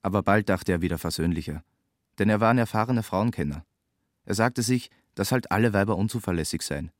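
A man's voice: on the low side, fairly quick, no room sound, clean studio sound. A man narrating a literary audio drama.

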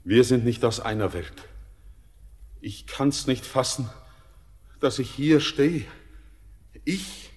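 A young actor playing an orphaned sailor, torn between pleading and dread, in a classic radio play. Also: male voice, medium pitch, animated, slow pace, pauses often, a little mellow, husky. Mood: anxious.

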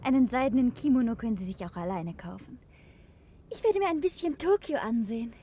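Woman playing a lively, self-assured lady, noisy background. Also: on the high side, a little fast, natural voice. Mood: cool, brisk. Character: self-assured, brisk married lady declining a suitor. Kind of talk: German television drama dialogue.

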